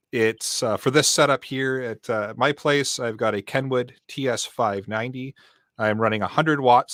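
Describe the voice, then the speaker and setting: A man's voice: fairly low pitch, fairly bright tone, no echo. Man hosting, close and dry. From Canada. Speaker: podcast host. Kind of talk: casual live-stream chat and explanation.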